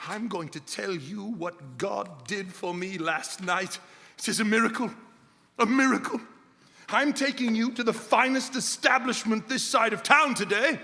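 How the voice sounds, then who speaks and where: A man's voice: high-pitched, sharp tone, exaggerated voice. A man acting in live radio theater as an elderly miser turned giddy and generous, with a theatrical, effusive manner. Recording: reverberant hall, live stage recording.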